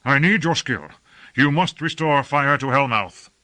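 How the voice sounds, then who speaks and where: A man's voice: mid-range voice, expressive, measured pace, voice pushed up. A man voicing an imperious, hot-tempered lord in an audiobook, harsh and urgent as he gives commands. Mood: angry, demanding. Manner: urgent, peremptory, shouting commands.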